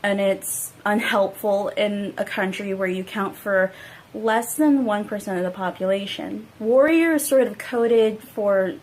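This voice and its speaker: A woman's voice: mid-range voice, measured pace, darkish tone, low-quality recording. A woman giving an explanatory personal statement.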